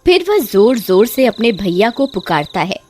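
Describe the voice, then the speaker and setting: A woman's voice: medium pitch, very animated, normal speed, a little mellow, slight background noise. A woman narrating a scripted horror story as a storyteller.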